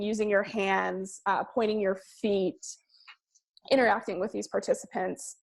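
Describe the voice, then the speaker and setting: Woman presenting in a webinar, bit of vocal fry, lots of ums, slows down on the key points. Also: medium pitch, darkish tone. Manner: measured, slows to stress key words, frequent ums. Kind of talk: presentation to a class.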